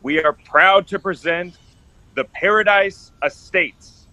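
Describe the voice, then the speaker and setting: A man's voice: high voice, slow pace, noisy background. A man playing a boastful, showy real estate developer in an amateur radio play.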